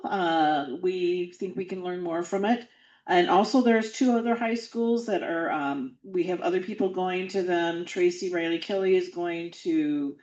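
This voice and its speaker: A woman's voice: low-pitched, a little mellow, reverberant, highs cut off. A woman giving a casual civics update at a membership meeting, talking loosely with fillers and restarts.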